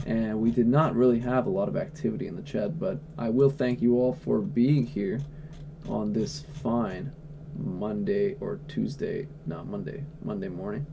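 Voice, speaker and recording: A man's voice: on the low side, steady tone, normal speed, creaky, background noise. A man livestreaming from home, answering chat questions in a blunt, offhand way and swearing freely. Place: at home indoors.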